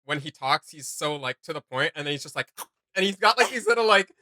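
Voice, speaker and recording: A man's voice: on the high side, lively intonation, a little fast, sharp tone, no echo, clean studio sound. A man hosting a reaction video, giving casual, enthusiastic and rambling commentary.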